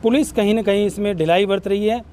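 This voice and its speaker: Male villager, high-pitched voice, earnest and insistent interview testimony, faint outdoor noise behind. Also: quick pace, darkish tone. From Haryana, India. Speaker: villager interviewed by local news. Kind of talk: on-camera news interview testimony.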